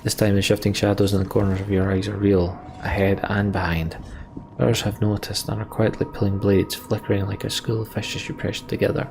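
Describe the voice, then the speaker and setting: A man's voice: on the low side, level tone, fairly quick, gravelly, noisy background. A man hosting a let's play, reading game text aloud and commenting casually on his play. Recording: game music behind the voice. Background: game music.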